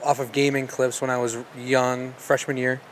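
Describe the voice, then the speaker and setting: A young man hosting a casual podcast chat, quick and emphatic with slangy phrasing. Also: medium pitch, flat delivery, slight background noise, rough recording.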